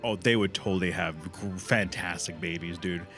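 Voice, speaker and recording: A man's voice: low-pitched, normal speed. A man giving casual, crude and slangy live commentary while streaming a video game. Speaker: livestreamer playing a video game. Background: game music.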